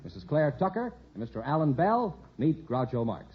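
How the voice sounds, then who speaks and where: An American man working as a vintage radio quiz show's announcer, giving polite, clearly enunciated explanations. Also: on the high side, big pitch swings, moderate pace. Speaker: announcer and co-host. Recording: muffled old radio recording, audience noise. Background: studio audience.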